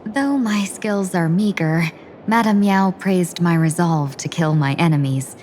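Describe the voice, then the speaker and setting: A woman's voice: fairly low pitch, unhurried, a little mellow, slight background noise, close to an everyday voice. An actress playing a humble, devoted, courteous woman warrior in a video game, speaking formally and with deference. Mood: devoted, polite. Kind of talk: video game character dialogue.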